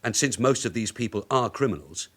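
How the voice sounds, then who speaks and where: An actor playing a shrewd veteran police detective sergeant in a radio drama, measured and deferential while he probes. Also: mid-range voice, expressive, a bit bright, breathy edge. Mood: polite, probing. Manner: measured, deferential but probing questioning. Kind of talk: naturalistic radio drama acting.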